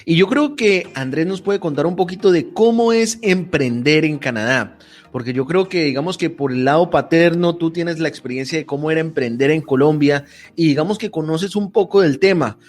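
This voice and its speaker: A man hosting an interview, asking long, measured questions. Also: on the high side. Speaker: interview host.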